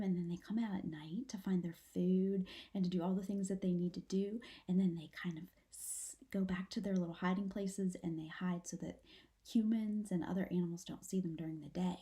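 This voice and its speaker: A woman's voice: lowish voice, mellow. A woman teacher reading a children's story aloud, adding simple explanations and speaking directly to her young listeners.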